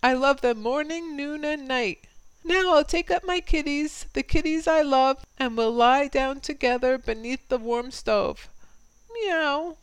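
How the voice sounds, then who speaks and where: A woman doing a soft cooing mother cat. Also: high-pitched, measured pace, dry recording, pitched above the performer's own voice, low-quality recording. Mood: tender. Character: gentle loving mother cat.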